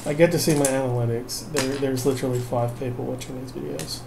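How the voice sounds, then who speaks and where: A man's voice: mid-range voice, steady tone, quick pace, creaky. A tired man, rambling wryly and self-mockingly in a late-night video while he builds a kit. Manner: self-mocking, wry and rambling, trailing off with fillers. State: tired, unable to sleep. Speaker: vlogger. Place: home at night. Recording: noisy home recording.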